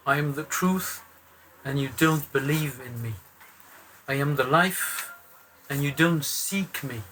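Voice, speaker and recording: A man recites a verse slowly and deliberately, his voice a little high for a man. Faint background noise runs under him. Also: sharp tone, poor audio.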